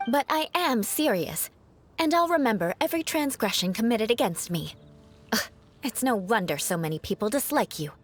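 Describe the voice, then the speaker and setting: A woman's voice: a little high, expressive, moderate pace. A woman playing a proud young aristocratic knight in a video game, speaking in a haughty, formal, theatrical way. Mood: defiant. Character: proud young aristocratic female knight, haughty, mock-vengeful, secretly kind. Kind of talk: video game character dialogue. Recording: music playing behind the voice.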